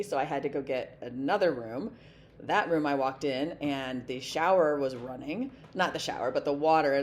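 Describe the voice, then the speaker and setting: Hoarse low-voiced woman, chatty vlog. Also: darkish tone, slight background noise. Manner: chatty, rambling, many ums, laughs often. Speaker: flosstube vlogger. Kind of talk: casual chat to camera. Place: home desk.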